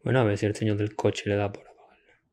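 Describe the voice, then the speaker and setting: A man in his thirties with a Spanish accent from Spain and a husky, breathy voice, muttering candidly between ASMR takes. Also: on the low side, completely flat delivery, fast, mellow. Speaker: ASMR video creator.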